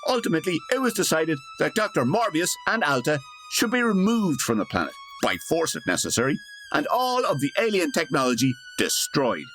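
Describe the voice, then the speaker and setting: A man's voice: fairly high pitch, very animated, brisk, fairly bright tone. A man giving scripted comic narration for a radio drama parody, wry and theatrical. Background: music.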